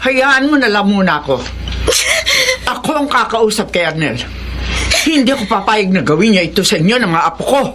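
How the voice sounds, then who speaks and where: Woman acting a feisty older Filipino mother, animated. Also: mid-range voice, fairly quick, natural voice. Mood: indignant. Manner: scolding, forceful. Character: stern, feisty older mother scolding her wayward son. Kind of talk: Tagalog radio drama acting. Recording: noisy radio drama recording.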